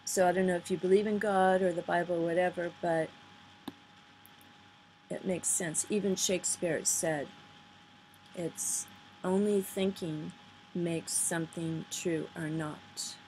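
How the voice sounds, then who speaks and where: A woman giving an informal, earnest spoken commentary, pausing between phrases and sometimes reading aloud, on a noisy amateur recording. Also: lowish voice, fairly even intonation, a little mellow. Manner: earnest, halting delivery with pauses between phrases. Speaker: vlogger. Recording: noisy amateur recording, poor sound quality.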